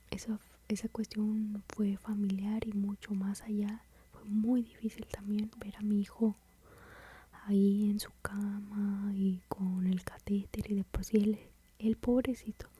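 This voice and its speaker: A woman with a Mexican accent whispering softly as she tells a personal story about motherhood, in a confiding, rambling way. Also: mid-range voice, slight background noise. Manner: soft, confiding, rambling with fillers.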